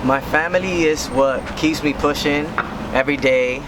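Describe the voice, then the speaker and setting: Earnest man over loud traffic. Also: a little high, moderate pace. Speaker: interviewee. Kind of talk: casual street interview. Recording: loud traffic noise behind.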